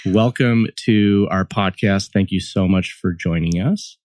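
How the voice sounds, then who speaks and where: Deep-voiced friendly male podcast host. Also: a little slow, a little mellow, no echo, studio quality. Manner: friendly, chatty, laughs easily. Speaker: podcast co-host and couples therapist. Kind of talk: scripted intro, then relaxed interview.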